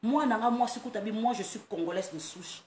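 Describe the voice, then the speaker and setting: A woman from France hosting a casual online monologue, animated and quick to laugh, breaking into playful chants. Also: a little high. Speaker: online talk host. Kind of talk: casual monologue, mixing Lingala and French. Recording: roomy echo, faint background noise.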